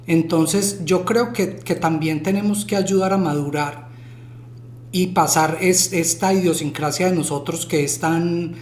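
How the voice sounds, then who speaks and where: A man's voice: fairly high pitch, steady tone, a little slow, a little mellow, roomy sound. A man from Colombia co-hosting a podcast, cutting in with firm opinions and using "permitime". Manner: opinionated, interjecting, uses voseo. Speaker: podcast co-host. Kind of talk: casual debate.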